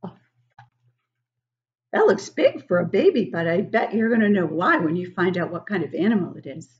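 A woman in her sixties reading a picture book aloud to young children, expressive and encouraging, stopping to put questions to them. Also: on the low side, normal speed, highs cut off. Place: living room at home, indoors.

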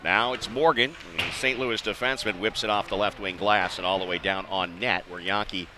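American man calling hockey over rink noise. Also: fairly low pitch. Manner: excitable on big plays, measured between. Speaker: play-by-play hockey announcer. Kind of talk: live radio-style sports commentary. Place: indoor ice arena. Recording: noisy live rink broadcast.

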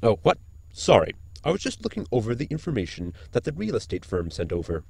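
A man's voice: lowish voice, wildly swooping pitch, brisk, vocal fry, darkish tone, breathy edge, no room sound, exaggerated voice. A man playing a cautious, fussy spaceship crewman in a sci-fi comedy audio drama, formal and hesitant. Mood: apologetic. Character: Peter, cautious, fussy male shipmate.

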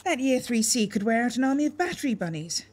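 An actress playing a bossy, wry postmistress and wife in a radio soap, brisk and exasperated. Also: female voice, fairly high pitch, big pitch swings, a little mellow. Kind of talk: radio soap opera acting.

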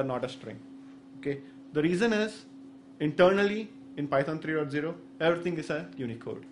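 A man in his thirties with an Indian accent, whose first language is Saurashtra, gives a technical talk into a stand microphone in a conference room. His voice sits a little high, and he explains slowly with frequent fillers and restarts. A faint hum of room noise runs underneath. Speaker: conference speaker. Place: medium indoor conference room. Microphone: stand microphone at the podium.

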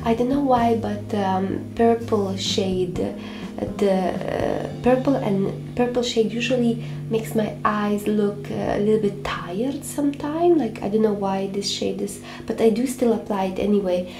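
A woman's voice: mid-range voice, a little slow. Hesitant young woman vlogging, roomy sound. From Lithuania. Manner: hesitant, many fillers and restarts. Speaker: beauty vlogger. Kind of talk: casual chat to camera. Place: small home room, indoors. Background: soft background music.

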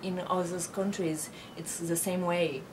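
A woman's voice: fairly low pitch, steady tone, unhurried. A woman in her late twenties, born in France with Brazilian and Belgian parents, answering interview questions thoughtfully with many fillers. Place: bedroom with bookshelves, indoors. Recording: clear background noise.

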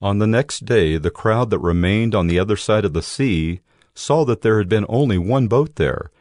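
Low-voiced man narrating scripture. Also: animated, moderate pace, darkish tone, dry recording, clean studio sound. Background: music.

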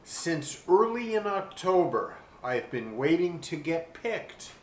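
Slow-reading older man, roomy home recording. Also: a little high, big pitch swings. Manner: deliberate, with pauses to describe the pictures. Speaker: children's book reader. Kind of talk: expressive storybook read-aloud. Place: small furnished room at home. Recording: roomy webcam sound with faint hiss.

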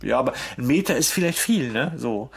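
A man's voice: mid-range voice, lively intonation, fairly quick, gravelly, crowd noise. A man chatting casually as a podcast host. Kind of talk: casual chat.

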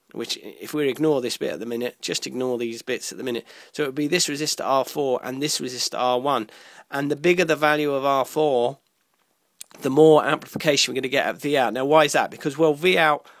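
A man presenting an informal electronics tutorial, explaining step by step in a halting way, pausing mid-sentence. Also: mid-range voice, no echo.

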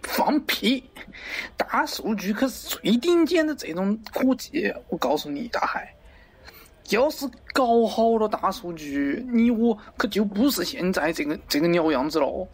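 A man's voice: high-pitched, expressive, a little slow, slightly rough, exaggerated voice. Pompous middle-aged boss acted by a man, avuncular, lecturing, crude, theatrical, parody radio play. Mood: scornful, blustering. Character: pompous middle-aged male wholesale market boss, crude yet poetry-loving. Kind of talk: amateur parody radio drama acting.

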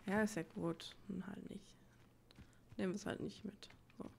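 A woman's voice: mid-range voice, steady tone, moderate pace, vocal fry, slight background noise. A woman giving casual gameplay commentary, musing to herself in an informal way. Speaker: let's player. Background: game music.

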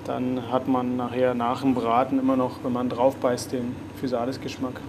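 A young male chef talks through a recipe in a relaxed, plain way with the odd filler pause. His voice is of middle pitch and close on a lapel mic, with clear sizzling and kitchen clatter behind him. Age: young adult. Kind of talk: cooking demonstration. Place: garden, greenhouse and restaurant kitchen. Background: kitchen noise and sizzling pan.

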